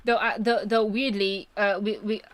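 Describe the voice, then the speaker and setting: A woman's voice: mid-range voice, flat delivery, moderate pace. A woman from Bulgaria, chatting casually on a live stream and speaking hesitantly, with many fillers and restarts.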